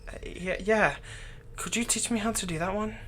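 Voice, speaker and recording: A man playing a doting, lightly teasing fantasy villain captor in an ASMR roleplay, speaking softly and tenderly, coaxing the listener without hurry. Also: high-pitched, slight background noise. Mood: tender, earnest. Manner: soft, coaxing, unhurried, tender.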